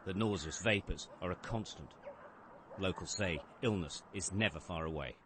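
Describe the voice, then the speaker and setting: A man narrates a news report with a somewhat low, steady voice. His delivery is measured and grave. Loud outdoor noise sits under the recording. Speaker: news correspondent.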